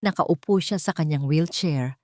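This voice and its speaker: A woman's voice: low-pitched, moderate pace, a little mellow, dry recording, clean studio sound. A woman with a Filipino accent reading a dramatic scripted story as its narrator.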